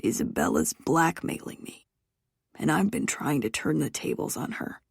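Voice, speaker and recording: A woman reading aloud, voicing a persistent young man who is the love interest, in a low husky voice. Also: sing-song, moderate pace, no room sound, clean studio sound, exaggerated voice. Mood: earnest.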